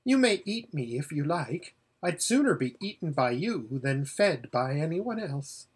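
A man voicing a gentle, trembling female horse in a storybook, meek and reverent. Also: on the high side, sing-song, measured pace, slightly rough, full character voice. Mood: awed, meek.

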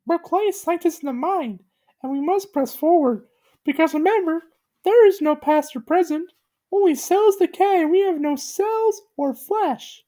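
A high, squeaky little clay boy, as a woman puts him on, innocent and frightened. The voice leaps far above her own and swings widely in pitch. Its tone is soft and mellow rather than bright. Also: expressive, unhurried. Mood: solemn.

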